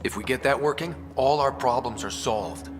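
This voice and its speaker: Actor as young space explorer leader in echoey hall. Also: male voice, medium pitch, moderate pace, close to an everyday voice. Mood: determined. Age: young adult. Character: determined, wry young male sci-fi explorer leader. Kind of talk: naturalistic video game cutscene acting. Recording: long echoey reverb, faint music behind.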